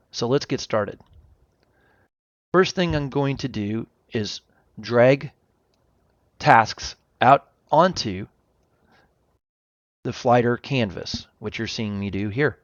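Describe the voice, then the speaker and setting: A man narrating an informal software demo as presenter, explaining things step by step. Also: medium pitch, takes it slowly, long pauses, dry recording, dull audio. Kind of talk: informal product walkthrough narration.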